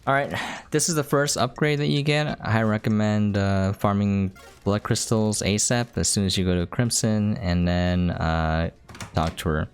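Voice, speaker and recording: A man's voice: lowish voice, fairly even intonation, normal speed, soft background music. A man giving casual game commentary as a video host, in a laid-back, rambling way. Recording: clear background noise.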